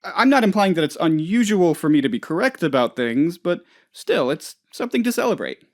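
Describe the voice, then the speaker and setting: A hapless office boss from a comedic video game, full of childish self-importance. The delivery rambles and hesitates, swinging widely in pitch. Worry and whining creep through the thin show of confidence. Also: very animated, fairly quick. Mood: awkward, earnest. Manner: rambling, hesitant, whiny when upset. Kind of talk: comedic game dialogue, voice lines.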